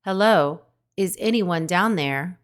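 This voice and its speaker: A gentle robot mother, voiced by a woman in a storybook, speaking in low, unhurried, precise phrases. Her tone stays calm and polite, a touch above the narrator's own low voice.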